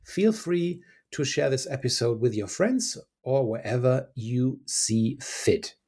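A husky-voiced man hosts a podcast, giving an upbeat introduction before an interview. His pitch sits in the middle. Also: very animated, takes it slowly, darkish tone. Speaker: podcast host.

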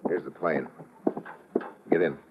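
An actor with an American accent playing a cocky, unflappable tough agent in old-time radio drama, speaking in a clipped, wry, commanding way. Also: male voice, rumbling low, steady tone, takes it slowly, full character voice. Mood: brisk, wry. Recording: noisy, muffled old radio recording.

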